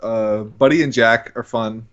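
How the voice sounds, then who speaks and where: A man's voice: fairly low pitch, steady tone, a little slow, highs cut off. A young man chatting casually as a podcast guest, hesitant and hedging with lots of "like". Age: young adult. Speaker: guest amateur voice actor on a podcast. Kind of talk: casual chat.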